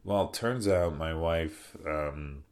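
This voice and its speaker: A young man with a deep voice, casually telling a personal story as a podcast host, relaxed and rambling with soft fillers. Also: takes it slowly, a little hiss or hum.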